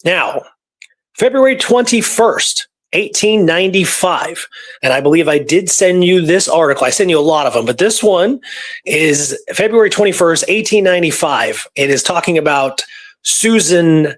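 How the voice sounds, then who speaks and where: Male podcast host, slightly high voice, informal and explanatory history chat. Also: expressive, moderate pace. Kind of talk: casual conversational history talk.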